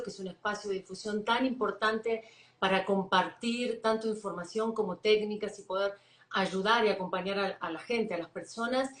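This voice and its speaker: Argentine woman in an echoey room. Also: medium pitch. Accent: Argentine Spanish, Buenos Aires. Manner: warm, enthusiastic, thoughtful with many fillers. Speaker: psychologist guest. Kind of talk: live-stream interview.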